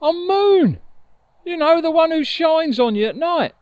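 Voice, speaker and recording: A man's voice: very high-pitched, animated, measured pace, dry recording, highs cut off, voice pushed up. A man voicing the bewildered, ailing and easily indignant Moon in a told story, hesitant and repeating questions. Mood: earnest.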